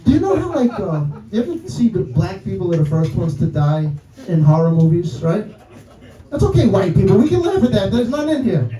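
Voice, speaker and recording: A man's voice: high-pitched, sing-song, brisk. A man in his thirties with a New York accent doing a profane stand-up set, constantly checking in with the crowd. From New York City. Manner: brash, profane, keeps asking the crowd "right?" and "guys". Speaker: stand-up comedian. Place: comedy club stage. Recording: roomy club sound from a distance. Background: audience laughter.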